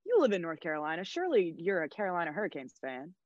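A woman's voice: lowish voice, very animated, racing, no echo. A young woman from Chicago co-hosting a podcast, chatting casually with playful, blunt teasing. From Chicago, USA. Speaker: podcast co-host. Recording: dull lo-fi mic.

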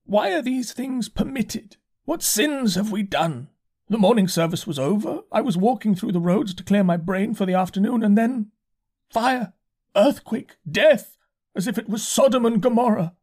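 A man voicing a distraught, half-mad male curate who talks in a slow, complaining, pleading way. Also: high-pitched, a little mellow, voice pushed up. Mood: bewildered, lamenting. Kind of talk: audiobook character voice.

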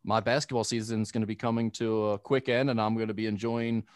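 A man's voice: fairly low pitch, little pitch movement, fast, no echo, studio quality. A man who calls games, guesting on a casual podcast, talking in a rambling, self-deprecating way. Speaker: sports broadcaster guest.

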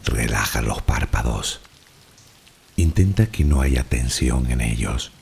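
A man from the Canary Islands with a Canarian Spanish accent, narrating a guided sleep relaxation in a soft, unhurried, soothing way. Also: rumbling low, expressive, gravelly, slight background noise.

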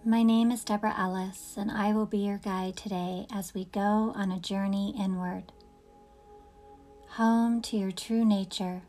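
A woman guides a relaxation practice at a very slow pace, leaving long pauses between phrases. Her voice is medium in pitch and her manner is gentle and soothing. Faint music plays behind her. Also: fairly even intonation. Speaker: yoga nidra guide. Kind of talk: guided meditation narration.

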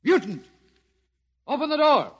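Bright, forceful, scornful radio lieutenant. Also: male voice, very high voice, very animated, a little slow, heavily acted. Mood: shouting, aggressive. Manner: sarcastic, barking orders. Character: hard, scornful, trigger-happy military lieutenant. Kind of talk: old-time radio drama acting. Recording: reverberant vintage radio recording.

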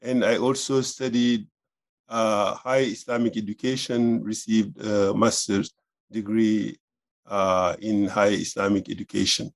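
A man in his fifties, a professor being interviewed, answering thoughtfully with many pauses and uhs. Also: on the low side, little pitch movement. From Senegal. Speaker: professor, guest.

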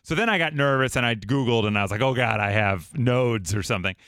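A man's voice: medium pitch, lively intonation, fast talker, fairly bright tone, clear, resonant tone, dry recording, studio quality. A man co-hosting a radio after-show, chatting and joking in casual banter.

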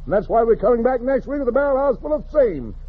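A man's voice: very high voice, very animated, quick pace, slightly rough. A man hosting a 1940s radio show with slangy, rhyming hepcat jive talk and showmanship. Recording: muffled vintage radio broadcast with music.

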